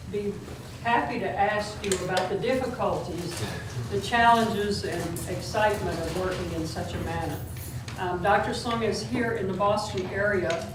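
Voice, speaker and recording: A woman delivers a measured, formal introduction of a guest speaker. She sounds distant, her voice bouncing around a very echoey lecture room over steady background noise. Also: medium pitch. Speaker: event host introducing the guest.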